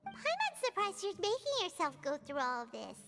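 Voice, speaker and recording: A tiny floating fairy companion from a video game, eager and sympathetic. Her voice is very high and bounces all over the place. Music plays clearly behind her. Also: huge pitch swings, moderate pace, heavily acted.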